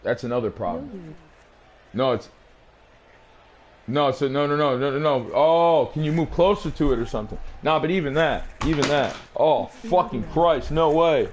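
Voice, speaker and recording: A man's voice: fairly high pitch, animated, moderate pace, a little hiss or hum. A man with a New England accent, chatting casually on a live stream, rambling and boastful and swearing freely as he plays his old songs. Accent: New England, Rhode Island. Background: music playing.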